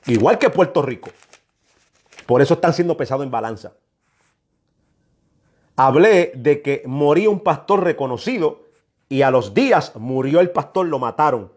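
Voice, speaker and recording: Higher-voiced man preaching with emphatic repetition. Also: lively intonation, moderate pace, pauses often, a little mellow. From New York, United States. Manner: emphatic, repeats phrases, admonishing. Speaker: preacher delivering prophecy. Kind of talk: prophetic sermon, exhortation.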